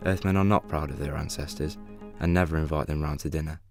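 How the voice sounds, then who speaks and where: A young man playing a wry, all-knowing guidebook narrator in a radio play, with measured, deadpan delivery. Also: a bass voice, creaky. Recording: music bed under the voice.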